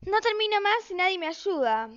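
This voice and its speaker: Woman acting harried high-voiced Argentine mother. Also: sing-song, moderate pace, a bit bright, exaggerated voice. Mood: nagging. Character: bossy, harried, thrifty mother of two. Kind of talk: amateur radio play acting. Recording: dull, lo-fi recording.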